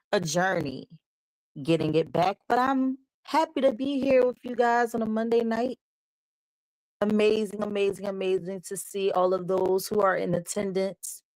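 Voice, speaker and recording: A woman hosting a live talk show, chatting upbeat with her viewers while her voice is still slightly hoarse. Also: mid-range voice, dry recording, rough recording. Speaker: host. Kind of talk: casual live show chat.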